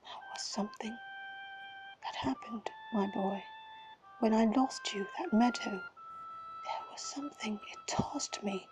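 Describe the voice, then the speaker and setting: A woman voicing a frail, ill old father, speaking in a hushed, breathy whisper. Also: on the high side, huge pitch swings, takes it slowly, long pauses, faint music behind, exaggerated voice, low-quality recording. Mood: haunted. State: ill and coughing.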